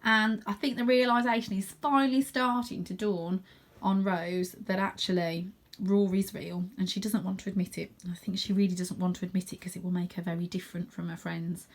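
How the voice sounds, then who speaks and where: A woman's voice: fairly low pitch, fairly even intonation, brisk. A woman reading a children's storybook aloud expressively, with chatty asides to her listeners. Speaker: reader of children's stories.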